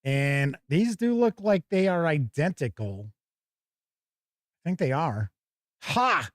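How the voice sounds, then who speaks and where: Casual man, higher-pitched voice, close dry sound. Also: big pitch swings, slow pace, no echo. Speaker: host of a tabletop gaming review show. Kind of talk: casual live unboxing commentary, reading out viewer chat.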